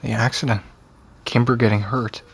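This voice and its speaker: A man's voice: mid-range voice, steady tone, normal speed, husky. A man acting an anxious, stubborn seventeen-year-old boy in an audio drama, with urgent questions and swearing. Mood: weary, exasperated.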